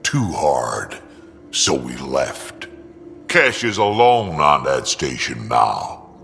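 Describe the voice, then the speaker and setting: An actor playing an ancient, gruff alien mercenary and proud grandfather in a video game, blunt, dry and salty, with a gravelly rumble. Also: sing-song, takes it slowly, fairly bright tone, big-room sound, full character voice. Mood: stern, blunt. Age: older. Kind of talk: video game character dialogue.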